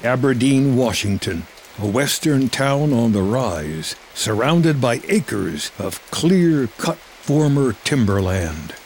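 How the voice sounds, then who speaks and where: A man's voice: mid-range voice, big pitch swings, slow pace, darkish tone, slight background noise. A man narrating a film script in a scripted storytelling style.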